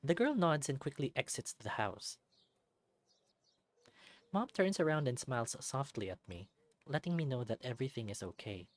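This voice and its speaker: A let's play narrator reading a visual novel aloud in an expressive storybook way, with light personal asides. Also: medium pitch, moderate pace, long pauses.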